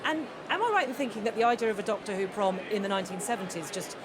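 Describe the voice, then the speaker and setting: A woman's voice: medium pitch, animated, racing, a crowd in the background. A woman presenting a live radio interview from a large concert hall, chatty and enthusiastic. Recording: background noise of a busy venue.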